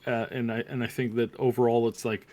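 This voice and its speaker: Halting man with a mid-range voice. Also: mid-range voice, level tone, darkish tone. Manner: halting, repeats words while reasoning. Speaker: co-host of a review show. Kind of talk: casual opinionated discussion.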